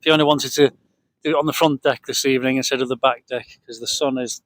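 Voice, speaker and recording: A man's voice: mid-range voice, fairly even intonation, quick pace, fairly bright tone. A British man in middle age or older hosting a casual vlog, chatting off the cuff into a handheld microphone. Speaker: vlog host. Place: outdoors on a boat deck at a marina, breezy evening. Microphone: cheap handheld microphone shared with partner.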